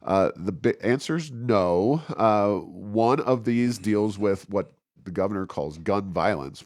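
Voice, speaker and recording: A man hosting an informal political commentary podcast, speaking in a halting, stammering way. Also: sits low, no room sound, clean studio sound. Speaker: podcast host.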